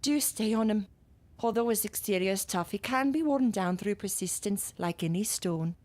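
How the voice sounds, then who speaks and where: A woman's voice: medium pitch, normal speed, darkish tone, lightly acted. An actress playing a genteel, persistent matchmaking mother in a period audio drama, coaxing as she speaks. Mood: conspiratorial, encouraging.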